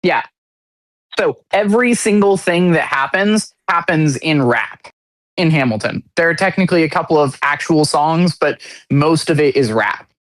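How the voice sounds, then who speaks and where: A man's voice: on the high side, expressive, moderate pace, a bit bright, no room sound. A man co-hosting a podcast, explaining things with enthusiasm in casual chat. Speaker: podcast co-host.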